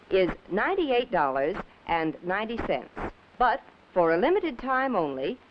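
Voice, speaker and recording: A woman presenting a scripted 1950s television commercial in a polished, enthusiastic way. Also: mid-range voice. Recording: muffled vintage television audio with hiss.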